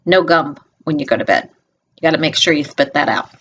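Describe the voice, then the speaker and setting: Low-pitched woman reading to kids, muffled sound. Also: a little fast, a little hiss or hum. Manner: expressive, addresses young listeners directly. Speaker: storyteller reading a picture book to children. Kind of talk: read-aloud storytelling with chatty asides. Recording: dull lo-fi home video sound.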